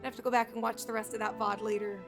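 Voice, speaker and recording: A woman's voice: a little high, little pitch movement, rapid-fire. A woman livestreaming a video game, chatting casually to viewers and reacting as she plays. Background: game music.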